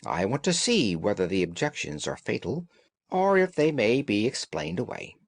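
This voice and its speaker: A man voicing a shrewd gentleman detective in an audiobook, calm, analytical and authoritative, with formal, deliberate phrasing. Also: mid-range voice, no room sound.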